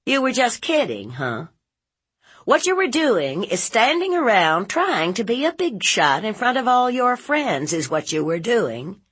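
A woman narrator acts out a fierce storybook aunt, blunt and protective. Her pitch rides high and swings widely as she interrogates and scolds with folksy force, and the voice has some husk in it. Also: big pitch swings, unhurried, fairly bright tone, breathy edge, full character voice. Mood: outraged scolding.

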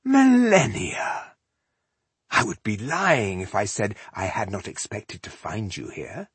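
A man's voice: fairly low pitch, big pitch swings, slow, slightly rough, no echo, exaggerated voice. A man voicing a suave, cruel elf-like warlord in a fantasy audiobook, velvety and mockingly polite in a conversational way. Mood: taunting.

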